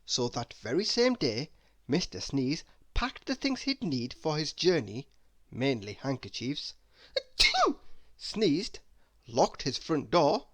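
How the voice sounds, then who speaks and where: A storyteller reading a children's book aloud in an expressive narrating style. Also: medium pitch, takes it slowly.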